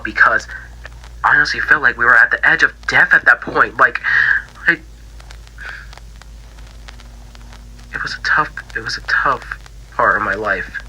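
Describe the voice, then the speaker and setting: A man voicing a bitter, grieving young pig character in a halting, stammering interview for an analog horror fan drama. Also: medium pitch, pushed and bright, a little hiss or hum, rough recording. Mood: wistful, sad. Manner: halting, stammering, repeats himself, trailing off. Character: grieving, bitter young male cartoon pig, infection survivor.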